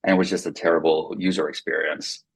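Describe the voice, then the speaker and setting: A man whose first language is Finnish, speaking as a company co-founder in a measured, explanatory podcast interview. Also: sits low, flat delivery, husky.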